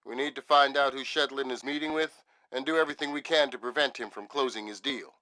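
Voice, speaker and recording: An actor playing a seasoned, exacting intelligence chief in a video game, speaking in a measured, authoritative and dry manner. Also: male voice, mid-range voice, sharp tone, close to an everyday voice. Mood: businesslike. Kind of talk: video game voice acting, briefing.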